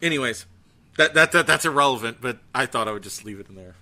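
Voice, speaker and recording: A man chatting casually as a podcast host, ranting in an animated, profane way. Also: mid-range voice, fast talker. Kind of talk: casual chat about gaming news.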